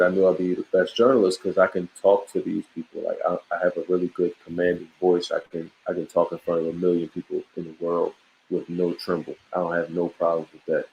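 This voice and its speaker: A man talking as an interview guest in a relaxed, emphatic way, full of slang, restarts and boasts. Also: low-pitched, creaky, slight background noise, low-quality recording. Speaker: podcast host, guest on the show. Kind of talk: casual interview chat.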